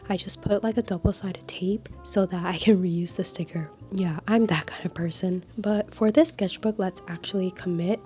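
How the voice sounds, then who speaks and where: A woman with a slightly scratchy voice from a cold, chatting casually and unhurriedly in a low-energy voice-over about her craft supplies. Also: dark tone. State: a little sick, scratchy voice. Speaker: art vlogger.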